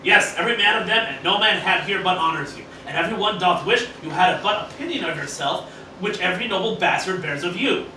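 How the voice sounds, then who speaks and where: A man's voice: high voice, lively intonation, fast, sharp tone, heavily acted. A man playing a boisterous, scheming cartoon mad-scientist grandfather in a mock-Shakespearean radio play, theatrical and sometimes stumbling over lines. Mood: grandiose. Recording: roomy, distant, noisy amateur group recording.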